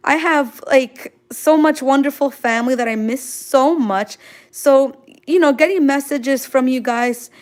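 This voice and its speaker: A woman's voice: high-pitched, a little slow. A woman from Queens, chatting casually and effusively on a livestream as she answers viewer comments, full of exclamations. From Queens, New York City, United States. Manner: gushing, effusive, sprinkled with exclamations. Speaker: manga artist hosting a drawing livestream.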